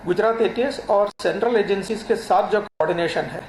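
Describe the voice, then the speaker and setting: Male official, formal but halting interview answers, echoey room, slight background noise. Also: sits high, a little mellow. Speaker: law enforcement official, interview guest. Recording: roomy echo, faint background noise.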